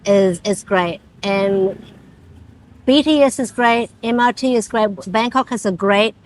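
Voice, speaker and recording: A woman's voice: mid-range voice, a little slow, a little hiss or hum, rough recording. Woman fitness coach, eager and agreeable, casual interview in a small studio. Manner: warm, eager, agreeable, with many restarts and frequent yeahs.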